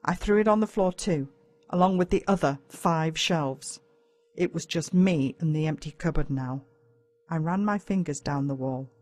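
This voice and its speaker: An Australian woman reads a children's story aloud in a fairly low voice. Her first-person narration is scripted and theatrical, drawing out the suspense as she goes. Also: normal speed, a little mellow. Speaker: audiobook narrator.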